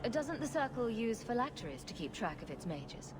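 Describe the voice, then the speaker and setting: A woman's voice: fairly high pitch, big pitch swings, a little fast, music in the background. An actress playing a blunt, flippant female adventurer in a dramatic video game, with a wry delivery. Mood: dry, curious. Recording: reverberant hall with ambient noise.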